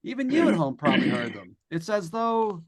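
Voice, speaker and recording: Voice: mid-range voice, sing-song, a little fast. An actor in an old-time radio suspense play, playing a jittery, stammering broadcaster who talks to listeners at home in a folksy way and is coming unraveled. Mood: jumpy.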